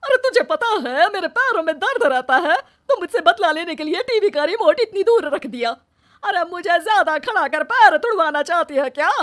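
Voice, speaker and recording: Casting: woman voicing a spiteful middle-aged mother-in-law for a cartoon tale, high-pitched, harsh, swinging nag. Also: lively intonation, moderate pace, a bit bright. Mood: angry, nagging. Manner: harsh, taunting, nagging. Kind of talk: animated moral story dialogue.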